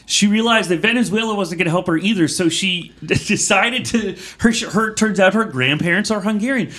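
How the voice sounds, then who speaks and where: A man co-hosting a podcast, arguing in a casual debate with an animated, enthusiastic manner, often cutting in. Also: high-pitched, moderate pace. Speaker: podcast co-host.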